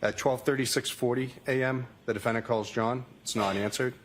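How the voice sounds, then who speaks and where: A man's voice: medium pitch, fairly even intonation, fairly quick, a little hiss or hum. A man testifying as a state trooper in court, reading out records in a matter-of-fact, formulaic way. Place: courtroom witness stand.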